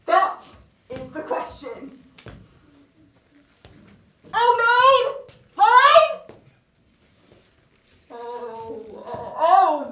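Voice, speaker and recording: Young woman playing a flustered high-pitched stage Hamlet, echoey. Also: bright, forceful tone, heavily acted. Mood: desperate, theatrical. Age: young adult. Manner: halting, groping grandly for half-remembered lines. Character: flustered bewildered actor thrust unprepared into playing Hamlet. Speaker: student actor. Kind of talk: comic stage acting. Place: school theatre stage. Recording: echoey stage, distant mic, faint noise.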